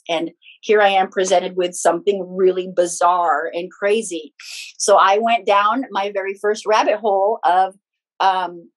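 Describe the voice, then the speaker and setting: A woman's voice: medium pitch, rough recording. A middle-aged woman from the Philippines, a podcast guest speaking casually and frankly about her own life in personal anecdotes. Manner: chatty, frank, personal anecdotes with small laughs. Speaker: guest, spiritual teacher and author. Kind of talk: casual conversational storytelling.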